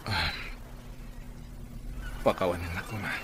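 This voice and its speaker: A sheepish, evasive young husband in a radio drama. He speaks haltingly in a fairly low voice, with clear noise behind him. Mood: guilty, apologetic. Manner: hesitant, halting.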